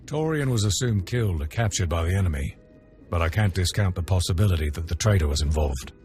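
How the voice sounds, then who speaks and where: Stern, low-voiced warrior commander. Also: male voice, lively intonation, fast talker, darkish tone, soft background music. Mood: matter-of-fact. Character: stern male warrior camp commander, proud and dismissive. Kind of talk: video game acting.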